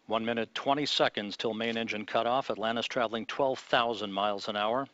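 A man giving live launch commentary from mission control, in a measured, calm announcer delivery. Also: on the low side, no echo, highs cut off.

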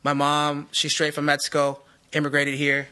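Flat-voiced laid-back man. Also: a little high, moderate pace, smooth. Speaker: podcast guest.

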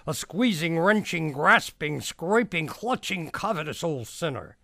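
A man's voice: on the high side, expressive, unhurried, vocal fry, bright, forceful tone, dry recording. Theatrical male narrator, close and dry. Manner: theatrical, emphatic. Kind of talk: dramatic storytelling narration for a radio play.

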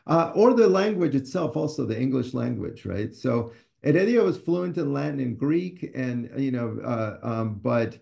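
Author, 60s, from Ecuador: gravelly, mellow voice, halting and thoughtful, webcam in a study. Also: male voice, mid-range voice, animated. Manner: thoughtful, hesitant with frequent fillers and restarts. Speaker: author and scholar. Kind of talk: conversational talk on a video call. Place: home study with bookshelves. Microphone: video call webcam.

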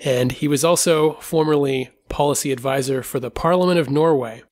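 A man's voice: fairly high pitch, brisk, darkish tone, dry recording, clean studio sound. A man hosting a podcast, introducing guests and asking friendly questions. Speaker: podcast host. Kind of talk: casual chat.

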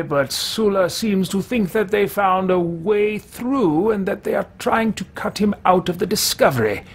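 A man's voice: on the high side, husky, exaggerated voice. A man in his thirties roleplaying a formal, meticulous, irritable wizard adventurer in a video game, with stilted theatrical speech. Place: small room at home with shelves.

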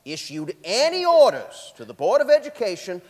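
A man's voice: sits high, huge pitch swings, normal speed, a little mellow, full character voice. A man playing an attorney formally questioning a witness in a staged reading, recorded in a theater. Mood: formal, probing. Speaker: actor in a staged dramatic reading. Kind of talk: formal courtroom examination, read from script. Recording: echoey theater hall.

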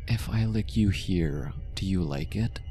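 A man playing a possessive, lovestruck mafia boss in an intimate ASMR roleplay, speaking softly and coaxingly, obsessive yet tender. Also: low-pitched, music in the background. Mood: seductive, possessive. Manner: slow, soft, coaxing, close and intimate.

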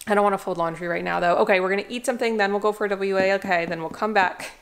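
A woman's voice: mid-range voice, fairly even intonation, rapid-fire. Brief: chatty, bubbly woman in her twenties, casual home vlog, echoey room, faint hiss. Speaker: lifestyle vlogger. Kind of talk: casual vlog chat to camera. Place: small apartment, indoors. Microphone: camera mic, handheld or set on a tripod.

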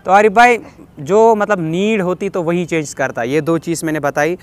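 A young man with a fairly high voice and a Kashmiri accent hosts a casual question-and-answer vlog. He reads each question aloud and replies in a chatty way, dropping in bits of English. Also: moderate pace. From Kashmir, India. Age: 20s. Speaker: vlogger answering viewer questions. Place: outdoors on a rooftop.

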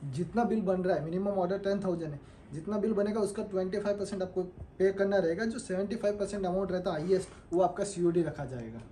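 A young man pitching his clothing collection to viewers in a showroom, talking persuasively while showing garments. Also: fast talker, dark tone, slight background noise. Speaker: clothing wholesaler.

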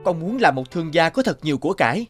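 A man's voice: a little high, expressive, quick pace, lightly acted. Ambitious young son played by a man, faint music. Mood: determined. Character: discontented, ambitious young son of puppet makers. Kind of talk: animated folk-tale acting.

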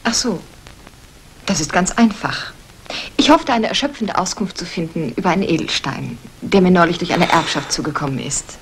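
An aristocratic lady with a fairly low female voice. She is poised, cool and cultivated, every bit the society hostess of a radio thriller. The old recording has slight noise. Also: animated, moderate pace, rough recording. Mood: gracious. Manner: composed, cultivated. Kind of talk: 1960s German radio drama acting.

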